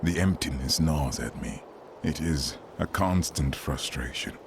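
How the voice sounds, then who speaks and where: A man voicing a warrior with no memory who speaks in halting, grave fragments, in a video game. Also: rumbling low, noisy background. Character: confused male warrior who lost his memory.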